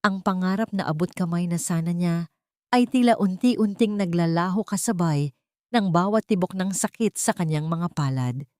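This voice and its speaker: A woman's voice: lowish voice, normal speed, a little mellow, no room sound, studio quality. A woman narrating a scripted audio story in a dramatic storytelling style.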